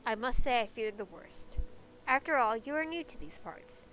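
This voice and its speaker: A woman's voice: fairly high pitch, normal speed, a bit bright, a little hiss or hum, natural voice, low-quality recording. A woman voicing an anxious, dramatic castle dweller in a fantasy game, speaking in an emphatic, fretful way. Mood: relieved.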